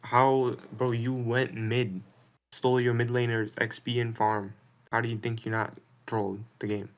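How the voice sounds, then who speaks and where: A man's voice: medium pitch, flat delivery, unhurried. Man, voice call, defensive, irritated, swears a lot. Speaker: caller. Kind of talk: heated argument on a voice call.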